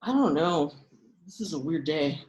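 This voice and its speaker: A woman's voice: sits low, animated, normal speed, darkish tone, roomy sound, low-quality recording. A woman playing a good-natured, hesitant young college guy in a comedy table read. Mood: bewildered. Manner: hesitant, puzzled, earnest.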